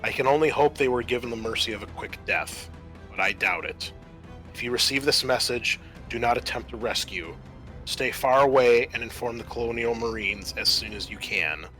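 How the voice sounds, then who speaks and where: A man's voice: medium pitch, pushed and bright, natural voice. A man reading a solemn, formal final report as a grim colony survivor in a sci-fi tabletop game. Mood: grave, resigned. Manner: solemn, formal, measured radio sign-off phrasing. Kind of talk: dramatic reading of scripted flavor text. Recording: faint music under the voice.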